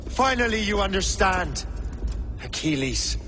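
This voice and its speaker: An actor playing a defiant young sea captain turned assassin hunter in a video game, blunt and given to swearing. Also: male voice, high-pitched, expressive, unhurried, pushed and bright, faint music behind, heavily acted. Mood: angry, bitter.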